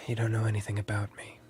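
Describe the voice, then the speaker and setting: An actor plays a guarded man in a secret affair in an audio drama. His delivery is terse and understated, with a very even pitch and a wary restraint. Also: close to an everyday voice, low-quality recording. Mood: guarded, intimate. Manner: low-key, terse, guarded.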